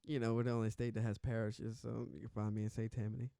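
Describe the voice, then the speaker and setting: Man from Louisiana, smooth mid-pitched voice, dry close sound, playful and teasing. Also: level tone, fast, dark tone, no room sound. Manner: playful, laughs and teases. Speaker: podcast guest. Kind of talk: casual chat.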